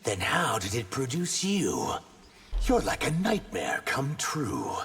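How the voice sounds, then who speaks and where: An actor playing an earnest, guarded young shapeshifting warrior in a video game. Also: male voice, mid-range voice, wildly swooping pitch, slow pace, gravelly, a bit bright, husky, faint music behind, heavily acted. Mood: defiant. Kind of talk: video game character dialogue.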